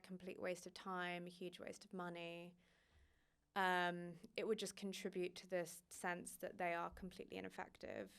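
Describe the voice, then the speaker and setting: A woman's voice: fairly low pitch, vocal fry, a bit bright, smooth. A woman, a migration journalist, answering interview questions thoughtfully, hedging with fillers and restarts.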